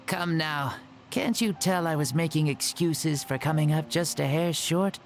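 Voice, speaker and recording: A man voicing an older, proud and genial warrior spirit in a game cutscene, speaking in a measured, grand way. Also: fairly high pitch, soft background music. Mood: warm. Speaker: game character.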